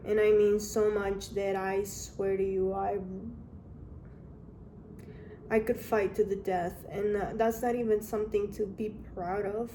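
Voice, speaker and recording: A young woman from Honduras frankly telling her life story in a halting, candid way. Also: mid-range voice, mellow, a little hiss or hum, low-quality recording. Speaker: vlogger. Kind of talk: personal storytelling.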